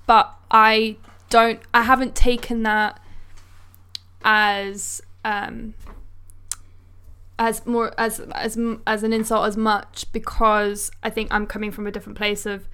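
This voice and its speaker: Woman, podcast co-host, smooth clear voice, informal and reflective conversational delivery. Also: a little high, little pitch movement, takes it slowly, pushed and bright. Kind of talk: casual conversational discussion.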